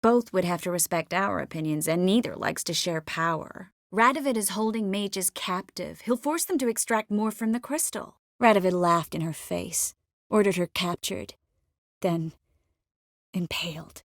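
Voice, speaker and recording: A woman's voice: on the low side, moderate pace, no room sound, clean studio sound, lightly acted. Caring, wry young sorceress, voiced by a woman. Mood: measured, grave. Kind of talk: fantasy video game voice acting.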